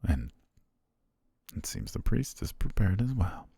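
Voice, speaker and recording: A man voicing a devoted male butler marrying his princess, speaking formally in a soft, half-whispered way that hints at quiet control. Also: fairly low pitch, big pitch swings, unhurried, gravelly, breathy edge, dry recording, exaggerated voice. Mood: tender, hushed. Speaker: ASMR roleplay voice actor. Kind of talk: intimate ASMR roleplay monologue.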